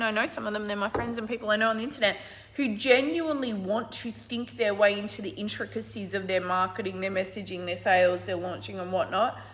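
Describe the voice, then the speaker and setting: A middle-aged woman business coach with a hoarse, husky, croaky voice, talking casually and emphatically to a live audience. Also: on the high side. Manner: enthusiastic, emphatic, swings from loud to quiet. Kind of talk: casual livestream talk.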